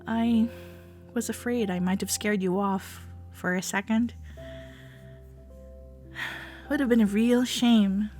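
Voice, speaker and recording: A woman playing an affectionate, gently teasing cuddler in ASMR roleplay audio, soft-spoken and often slipping into a breathy whisper. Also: on the high side, steady tone, slow, pauses often. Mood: flattered, playful. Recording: soft cafe jazz music behind. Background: soft background music.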